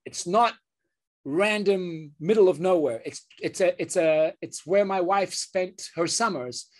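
A man, a surgeon, speaking as an interview guest in an earnest way, often restarting his sentences. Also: sits high. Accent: British. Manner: earnest, halting with many restarts. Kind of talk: interview conversation.

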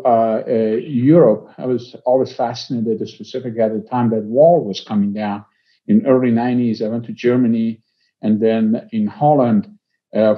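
A man in his sixties from Iran with an Iranian accent, speaking conversationally as an interview guest, with halting phrases and frequent pauses. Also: mid-range voice. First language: Persian. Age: 60s. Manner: halting, pausing often mid-phrase. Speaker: interview guest, company executive. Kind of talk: conversational life-story interview. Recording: slightly dull, lo-fi audio.

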